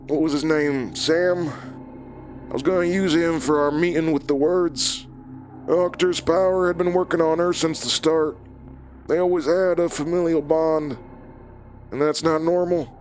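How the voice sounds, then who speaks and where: Give me a man playing a cold, higher-voiced killer. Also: natural voice. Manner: clipped, matter-of-fact, callous. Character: cold, remorseless killer, an old enemy recounting murders. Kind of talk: audio drama acting.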